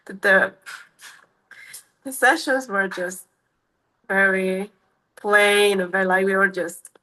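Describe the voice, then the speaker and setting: A woman's voice: mid-range voice, steady tone, slow pace, sharp tone, rough recording. A woman in her thirties from Romania with a Romanian accent, answering interview questions in a casual, hesitant way with many fillers and restarts. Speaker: MMA fighter, guest.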